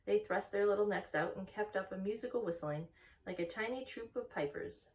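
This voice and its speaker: A woman's voice: medium pitch, brisk, darkish tone, recorded from a distance, rough recording. A woman reading a children's story aloud with expression as a story time narrator.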